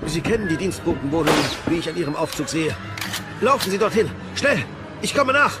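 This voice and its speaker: Loud music surrounds an armed undercover agent acted by a man barking urgent orders in a high, animated voice. It is horror radio play acting. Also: brisk. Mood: urgent, commanding. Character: armed, commanding agent posing as hotel porter. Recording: loud music and gunfire behind.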